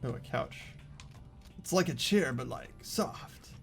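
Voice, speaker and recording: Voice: medium pitch, animated, takes it slowly, gravelly, soft background music. A playthrough host chatting casually with friends and reading game text aloud.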